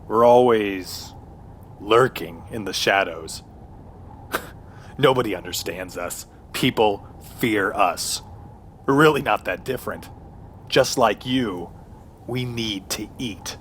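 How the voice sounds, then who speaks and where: A man's voice: lowish voice, slow, long pauses, a bit bright. A man playing a menacing, hungry werewolf gang boss and elder brother in a radio horror western, ominous and domineering. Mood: sly menace. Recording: noticeable background noise.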